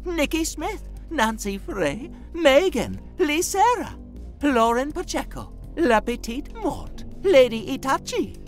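A woman's voice: high-pitched, sing-song, takes it slowly, faint music behind, exaggerated voice. A woman playing a sweet, whimsical, chatty mobster's sister in a cartoon audio drama. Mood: cheerful, whimsical.

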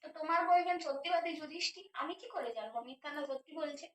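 A woman's voice: high voice, rapid-fire, fairly bright tone, reverberant. A woman on the receiving end of a phone call, answering dismissively and sarcastically. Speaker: prank call target.

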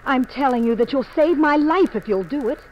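Desperate young woman, urgent pleading, 1950s radio drama, very animated, slightly noisy old recording. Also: high-pitched, fairly quick, dull audio. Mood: desperate insistent. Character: exotic, desperate young woman begging a stranger for help.

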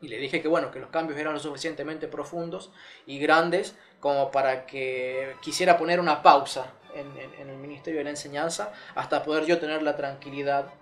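A man's voice: a little high, steady tone, brisk, soft background music. A man, earnestly recounting his own story as an interview guest, with many fillers and restarts. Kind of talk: personal testimony in an interview. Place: living room with curtains, seated in armchair. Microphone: lapel mic.

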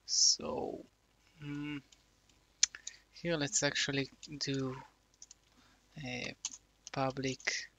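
A man slowly talking through a coding tutorial, thinking aloud with many fillers. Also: mid-range voice, little pitch movement, creaky, pushed and bright, a little hiss or hum. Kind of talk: casual screen-recorded coding tutorial.

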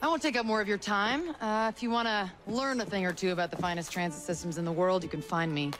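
An actor playing a polite, shrewd young smuggler boss in a video game cutscene, with smooth, businesslike delivery. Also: medium pitch, fast, a bit bright, lightly acted. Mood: courteous. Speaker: game character.

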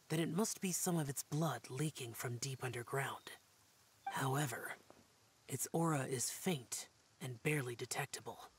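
A man's voice: medium pitch, slight background noise, natural voice. A man voicing an aloof young guardian spirit warrior in a game, grave and terse, half-whispered.

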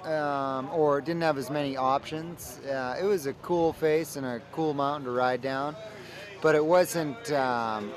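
A man, a pro snowboarder, giving laid-back, stoked interview answers with many fillers, outdoors on a snowy mountain. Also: medium pitch.

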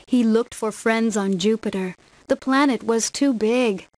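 A woman narrating a rhyming children's story from a script in measured, rhythmic verse. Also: fairly high pitch, darkish tone, no room sound.

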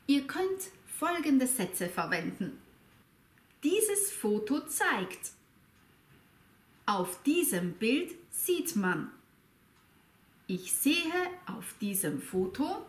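A woman in her fifties teaching a language lesson, speaking slowly and over-articulating every word. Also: high voice. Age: 50s. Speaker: German teacher. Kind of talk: slow, clear language lesson. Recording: roomy echo.

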